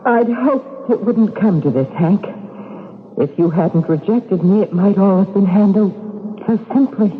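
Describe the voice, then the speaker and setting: Tinny, reverberant old radio sound carries a woman acting as a scheming villainess. She is composed, bitter and quietly ominous in her delivery. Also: mid-range voice, unhurried, a little hiss or hum, close to an everyday voice. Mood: cool, bitter. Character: cool, bitter female accomplice, scheming stepmother. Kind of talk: vintage radio drama acting.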